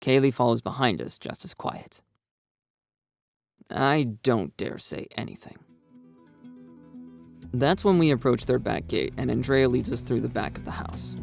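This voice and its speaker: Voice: medium pitch, expressive, a little slow, vocal fry, pauses often, dry recording. A narrator reading a dramatised first-person story in a scripted style. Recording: dry, music behind. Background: background music.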